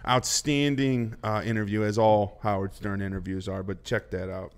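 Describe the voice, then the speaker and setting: A man's voice: on the low side, flat delivery, moderate pace, very noisy. A man co-hosting a podcast, chatting casually and giving emphatic opinions. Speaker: podcast co-host.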